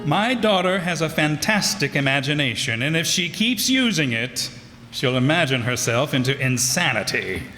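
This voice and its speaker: A man's voice: fairly high pitch, very animated, moderate pace, bright, forceful tone. A man playing an unruffled, dismissive and evasive adoptive father in an old-time radio drama. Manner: unruffled, dismissive, hesitant phrasing. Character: unworried, evasive adoptive father of a wealthy young woman. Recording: echoey hall with music behind.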